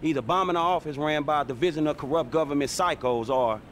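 Man as streetwise young American crook, highish voice. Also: fast, fairly bright tone, a little hiss or hum, natural voice. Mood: skeptical, sarcastic. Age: young adult. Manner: sarcastic, slangy. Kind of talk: video game cutscene acting.

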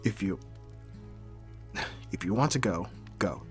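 A man acting a brooding mafia boss, hard but secretly caring, in a dramatic audio roleplay with terse, measured delivery. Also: sits low, expressive, faint music behind. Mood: low, gentle. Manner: terse, profane, sighing, measured.

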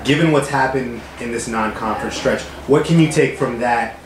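A man's voice: medium pitch, moderate pace. A man reporter asking a coach questions at a postgame press conference, polite and a little fumbling at the start. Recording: echoey room, faint noise.

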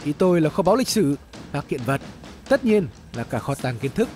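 A narrator puts on a pompous cartoon explorer, eager and dismissive. The male voice is high and mellow, quick to get excited, over soft music.

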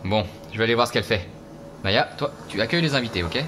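An actor playing a gentle, reassuring husband and father with a soothing, considerate manner in an audio drama. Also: medium pitch, lively intonation, fast, soft background music. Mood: brisk. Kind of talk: French audio drama acting.

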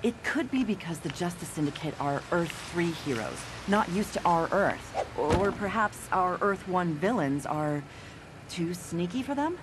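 A brisk, curious news reporter, with a lowish voice. She speaks with a wry edge. A steady noise hums behind her. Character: brisk female newspaper reporter, sharp and curious.

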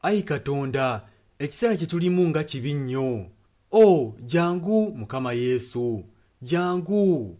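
A man's voice: fairly high pitch, lively intonation, measured pace, dark tone. A man interpreting a preacher's sermon and prayer phrase by phrase.

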